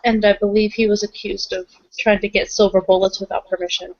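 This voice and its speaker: A woman's voice: mid-range voice, level tone, a little fast, a little mellow, muffled recording. A woman playing a tabletop roleplaying game, talking casually as she moves between character and narration.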